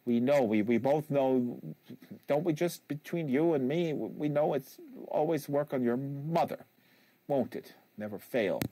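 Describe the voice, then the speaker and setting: A man voicing a bitter, rambling father who goads his tearful son in a halting monologue from a novel. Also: medium pitch, dark tone, close to an everyday voice, low-quality recording. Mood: bitter disgust. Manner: halting, repetitive, goading. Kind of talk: dramatic novel reading, monologue.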